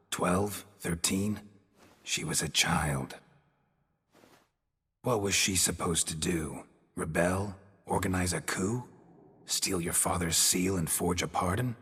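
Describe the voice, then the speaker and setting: A man playing a stoic, world-weary monster hunter in a video game, with a raspy voice and a terse, deadpan delivery. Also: deep voice, in a large hall, heavily acted. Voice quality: gravelly, raspy. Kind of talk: video game cutscene acting.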